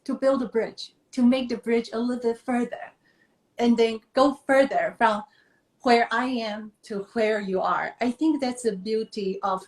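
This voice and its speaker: A woman's voice: a little high, unhurried. Taiwanese-born woman, earnest and echoey. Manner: thoughtful, earnest, slightly non-native phrasing with many fillers. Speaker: guest, children's author. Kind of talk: conversational interview on a live video stream. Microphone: video call. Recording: echoey room over a video call.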